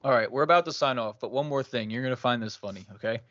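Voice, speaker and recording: A man's voice: mid-range voice, fast, a bit bright, muffled recording, a little hiss or hum. A man hosting a religious discussion podcast, talking casually and laughing as he tells a story. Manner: conversational, laughs while telling stories. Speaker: podcast host.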